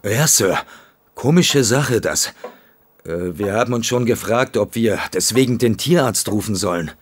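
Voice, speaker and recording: An actor playing a respectful, plain-spoken stable lad in a German audio drama, simple and a little hesitant. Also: male voice, fairly low pitch, lively intonation, a little slow. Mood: deferential.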